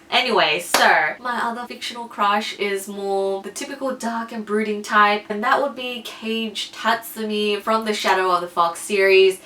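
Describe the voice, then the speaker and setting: A woman's voice: mid-range voice, sharp tone, a little hiss or hum. A woman book vlogger chatting casually to camera in a self-conscious, slightly giggly way. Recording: roomy echo, distant from mic.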